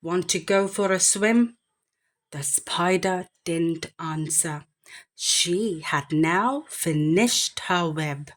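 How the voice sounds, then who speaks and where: A woman reading a children's picture book aloud in an expressive, deliberate bedtime storytelling manner. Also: on the low side. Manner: expressive, deliberate phrasing with animal sound imitations.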